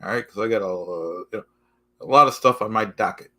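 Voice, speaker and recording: A man hosting a casual live stream, halting and repetitive, losing his voice, forever addressing "folks". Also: lowish voice, sharp tone. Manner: repetitive, keeps saying "folks" and "okay"; halting, restarts often.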